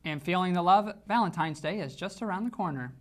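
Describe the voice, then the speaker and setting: A man's voice: high-pitched, fairly quick. A young man anchoring a student news show, reading the copy and asking interview questions. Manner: read-out anchor lines, loose in interview.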